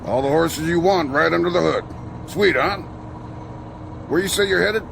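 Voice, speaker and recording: An easygoing long-haul trucker, folksy and relaxed in his drawl. His voice is deeply gravelly with fry, set slightly high, with faint noise under it. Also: normal speed. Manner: folksy, drawling, laid-back. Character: easygoing modern trucker, friendly and talkative.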